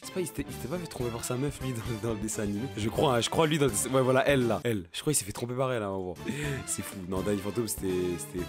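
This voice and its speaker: Young man chatting over loud music. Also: mid-range voice, very fast. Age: young adult. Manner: slangy, repetitive, informal. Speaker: YouTuber ranking childhood cartoons. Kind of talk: casual reaction commentary.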